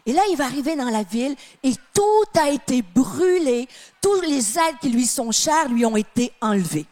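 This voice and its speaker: A Canadian woman with a Québécois accent preaching a sermon to a congregation, stressing phrases emphatically and then dropping to a soft, quiet tone. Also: high voice. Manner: emphatic, drawn-out phrases with sudden drops to a soft tone. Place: church with live and online audience.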